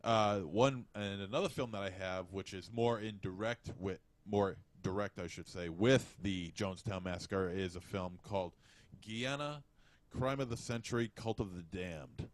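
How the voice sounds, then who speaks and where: A man co-hosting a podcast, chatting casually about movies in a halting, hesitant way. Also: fairly low pitch, no echo. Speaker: podcast co-host. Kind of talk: casual movie review chat.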